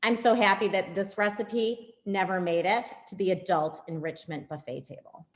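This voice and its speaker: A woman's voice: medium pitch, steady tone, moderate pace. A woman in her fifties reading a prepared, humorous personal reminiscence aloud. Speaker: participant reading a personal piece.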